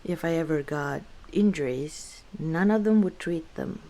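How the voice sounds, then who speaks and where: A woman playing a guarded, gently wry orc tavern owner in an intimate ASMR roleplay, speaking reflectively with hesitant pauses. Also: deep voice. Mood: weary, hurt. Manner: unhurried, reflective, hesitant pauses, soft chuckles.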